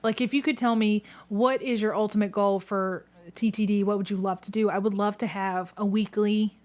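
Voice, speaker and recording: A woman's voice: medium pitch, fairly even intonation, moderate pace, darkish tone. A woman in her late thirties hosting a casual interview, chatting in a playful, enthusiastic way. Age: late 30s. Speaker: podcast host, theatre director.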